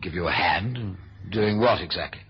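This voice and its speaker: An actor playing a dry, amused senior British politician in a 1970s radio drama, urbane and clipped. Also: male voice, low-pitched. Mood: guarded, questioning. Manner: urbane, unhurried, clipped establishment speech. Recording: dull old radio recording.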